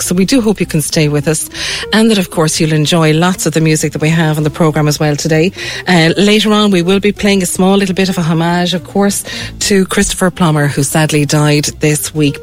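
Woman, radio presenter, conversational, studio mic, music behind. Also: fairly low pitch. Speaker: radio arts show host. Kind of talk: chatty live radio presenting. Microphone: studio broadcast microphone.

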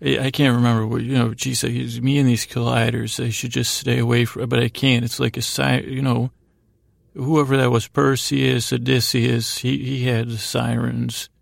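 A middle-aged American man hosting a bedtime podcast, telling meandering stories in a gravelly voice, haltingly trailing off and wandering between thoughts. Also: medium pitch, little pitch movement, no room sound, clean studio sound. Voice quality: gravelly, creaky voice. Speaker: podcast host. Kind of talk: rambling, meandering bedtime storytelling monologue.

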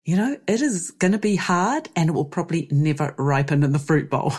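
A woman hosting a podcast, hoarse from losing her voice, explaining things in a lively, chatty way. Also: low-pitched, a little fast. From New Zealand. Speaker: podcast host. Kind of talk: solo podcast chat.

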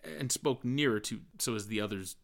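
A man reading a literary novel aloud as an audiobook, in expressive narration. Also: medium pitch, normal speed, vocal fry. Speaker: audiobook reader.

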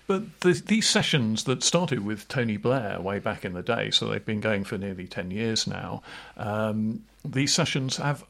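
A man's voice: on the low side, lively intonation, measured pace, creaky, a bit bright. A middle-aged man co-hosting a political podcast, chatting in a wry, discursive way. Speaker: podcast co-host. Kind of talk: casual political chat.

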